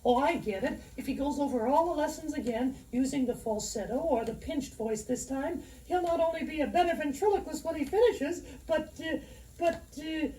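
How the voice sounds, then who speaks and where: Cheeky boy dummy; mellow tone, miked from a distance. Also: moderate pace. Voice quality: high falsetto. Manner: cheeky, jokey comebacks. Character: wisecracking boy ventriloquist dummy. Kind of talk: scripted instructional record.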